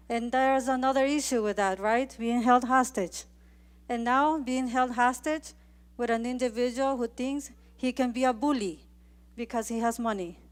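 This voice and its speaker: A woman speaks at a public hearing in a high, smooth voice. She is emphatic and pleading, driving her points home in short, forceful phrases. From Ecuador. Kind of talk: impassioned public comment speech. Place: indoor public meeting.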